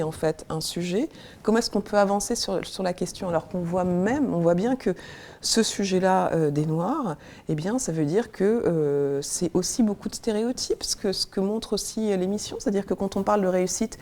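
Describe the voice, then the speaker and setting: Earnest woman with a mellow, lowish voice. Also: slight background noise. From Congo. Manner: earnest, thoughtful, searching for words. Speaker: guest, senior civil servant. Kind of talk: studio discussion.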